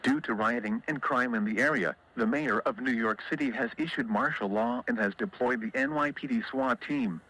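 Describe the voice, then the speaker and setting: A man's voice: medium pitch, moderate pace, hardly any pauses, sharp tone, poor audio. A man formally reading a scripted emergency alert as an announcer.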